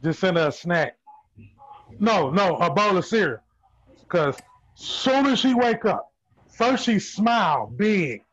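A man's voice: sits high, big pitch swings, takes it slowly, long pauses, a bit bright. Playful man in his thirties, lo-fi sound. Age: 30s. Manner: playful, joking, laughs often. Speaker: participant. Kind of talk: casual chat.

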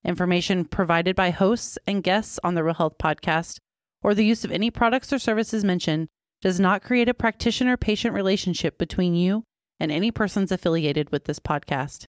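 A woman announcer reading a scripted disclaimer and podcast intro in a smooth, even way. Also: fairly low pitch, a little fast, no echo, studio quality.

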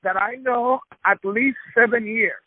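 Brief: wrestling promoter from Ecuador, proud, measured, dry phone audio. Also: male voice, extremely high pitch, no room sound. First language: Spanish. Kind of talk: phone interview. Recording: tinny phone line.

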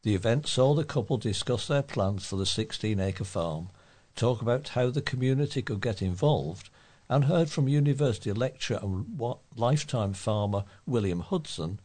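A man with a fairly low voice reads community news from a written article. He works as a volunteer reader, delivering the scripted report aloud. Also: expressive, normal speed, darkish tone. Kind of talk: read-aloud local newspaper report.

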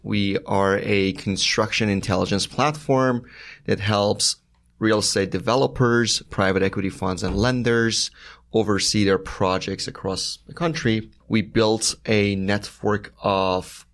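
A man's voice: lowish voice, steady tone, a little slow, fairly bright tone. A man from Iran, a startup founder, explaining his business methodically as a podcast guest. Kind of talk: interview conversation.

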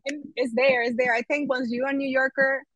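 A woman in her thirties with a New York accent, a podcast guest talking in a relaxed, reflective way with many filler words. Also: sits high, a little fast, slight background noise, low-quality recording. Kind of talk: casual conversational interview.

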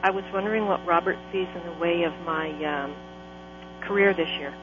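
A woman caller, soft-spoken, asking about her career on a call-in show. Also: lowish voice, fairly quick, over music. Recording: faint phone line with hum.

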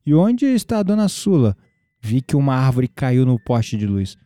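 A man acting a mellow, flirtatious Brazilian neighbour. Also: mid-range voice, big pitch swings, normal speed, smooth, dry recording, studio quality, close to an everyday voice. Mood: concerned. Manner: playful teasing, flirtatious. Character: mysterious male neighbour, flirtatious, playful, calmly teasing. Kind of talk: audio drama dialogue.

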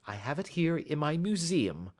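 A man playing a shrewd, self-assured gentleman detective in an audiobook, speaking precisely with suave, gentle mockery. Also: a little high, very animated, normal speed, voice pushed up. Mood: wry, amused.

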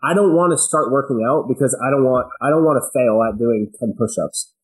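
A man's voice: mid-range voice, fairly quick, poor audio. A man chatting casually as a podcast guest, emphatic and slangy, telling anecdotes and posing rhetorical questions. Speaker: podcast guest, business owner.

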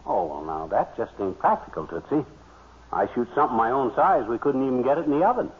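Actor as a boastful folksy duck hunter, tinny old radio. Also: male voice, mid-range voice, sing-song, fast talker, lightly acted. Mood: self-justifying. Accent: American. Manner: folksy, bragging, slangy. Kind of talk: 1940s radio comedy acting. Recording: tinny vintage radio recording, faint noise.

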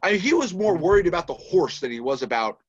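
Boston-accented man, animated storyteller. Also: high voice, fast, muffled recording. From Massachusetts. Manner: animated storyteller who acts out quoted dialogue. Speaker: guest, filmmaker. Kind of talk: casual podcast storytelling.

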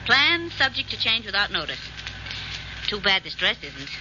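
An actress playing a detective's witty, sardonic young sweetheart, with dry playful quips and an American accent, in 1940s radio drama style. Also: female voice, medium pitch, sing-song, normal speed, noisy background, natural voice. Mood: dryly sardonic. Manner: dry, playful, arch quipping. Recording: muffled old radio recording with music.

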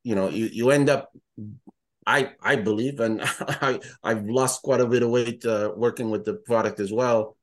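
Halting, easygoing man explaining workouts. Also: medium pitch, steady tone. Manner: halting, repeats words, easygoing. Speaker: fitness trainer and product creator. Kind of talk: casual podcast chat.

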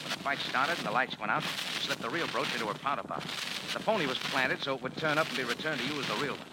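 An actor playing a tough, wisecracking bar owner and sleuth with an American accent, slangy and sardonic, in 1940s radio style. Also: male voice, mid-range voice, fast talker, natural voice. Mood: accusing, dry. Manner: hard-boiled, slangy, sardonic. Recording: very noisy vintage radio recording.

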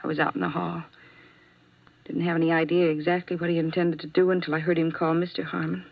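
Wistful, fragile nurse on muffled old radio. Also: female voice, lowish voice, flat delivery, fast, vocal fry. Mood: wistful, confiding. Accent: American. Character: lovelorn, resigned young female nurse confessing, wistful and fragile. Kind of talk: 1950s radio drama acting. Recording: muffled vintage 1950s radio recording.

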